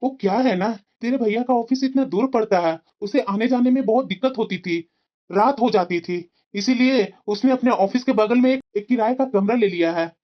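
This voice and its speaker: A woman's voice: on the high side, normal speed, natural voice. Woman as weary old father-in-law, dull sound. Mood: weary coaxing. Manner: plaintive, coaxing. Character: elderly father-in-law, weary, gentle, crafty. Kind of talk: Hindi moral-story cartoon acting.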